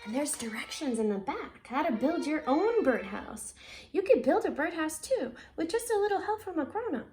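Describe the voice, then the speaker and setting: High-voiced American woman reading to children. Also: sing-song, moderate pace, darkish tone. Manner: addresses children directly. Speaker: children's read-aloud host. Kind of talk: expressive picture-book read-aloud with chatty asides.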